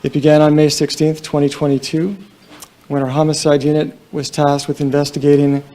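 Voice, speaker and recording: A police deputy chief speaking at a press conference in a large room, a man with a quiet voice and a halting, somber delivery full of fillers. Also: a little high, completely flat delivery.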